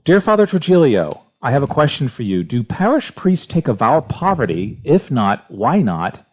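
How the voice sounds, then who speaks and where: A man hosting a religious question-and-answer talk as a priest, speaking off the cuff and haltingly, often searching for words. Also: mid-range voice, big pitch swings, slightly rough. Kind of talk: unscripted church history discussion and reading listener questions. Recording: dull, muffled lo-fi audio.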